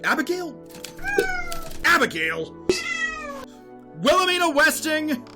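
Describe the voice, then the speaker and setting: A man's voice: very high voice, big pitch swings, slow, heavily acted. A man playing an irritable, self-absorbed writer father in an audio drama, exasperated with his family. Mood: angry, shouting. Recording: music behind the voice.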